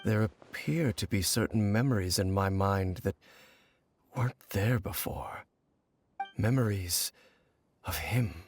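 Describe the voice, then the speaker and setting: An actor playing a grave, guarded wanderer in a video game, speaking in a measured, formal, solemn way. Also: male voice, fairly low pitch, slight background noise. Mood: troubled, pensive. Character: grave, brooding male wanderer, stern and guarded. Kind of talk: video game character acting.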